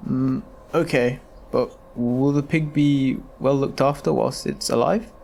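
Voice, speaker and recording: A man acts out a dying patient in an audio drama. He is wary and full of questions, ailing and prone to coughing, with faint noise behind his mid-pitched voice. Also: steady tone, a little slow, vocal fry, darkish tone. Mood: doubtful, wary. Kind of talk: amateur audio drama dialogue.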